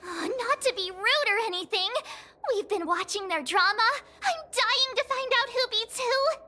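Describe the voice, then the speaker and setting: A woman voicing an excitable, chatty young girl with a flustered, talkative manner. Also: extremely high pitch, moderate pace, soft background music, full character voice. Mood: eager.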